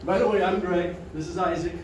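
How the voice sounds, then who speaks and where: A man with a big, carrying voice talks to a room of attendees in a friendly, easygoing way and keeps inviting their questions. He is picked up from well back in a roomy, echoing dining room. Steady background noise hangs under his speech. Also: sits high, brisk. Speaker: financial seminar presenter. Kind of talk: presentation to a seated audience. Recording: echoey room, mic far off, noisy.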